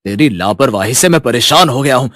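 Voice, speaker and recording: A man's voice: mid-range voice, lively intonation, brisk, a bit bright, no room sound, studio quality, lightly acted. A man voicing a harsh, short-tempered senior manager in a storybook tale, scolding and domineering. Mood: angry. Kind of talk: storybook character voice.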